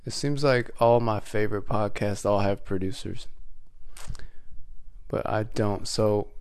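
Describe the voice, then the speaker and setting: A man's voice: on the low side, a little slow, darkish tone, clean tone. A man hosting his own podcast alone, talking casually with a scratchy voice from a cold, rambling with frequent restarts. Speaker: podcast host; waiter. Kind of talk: casual solo podcast monologue.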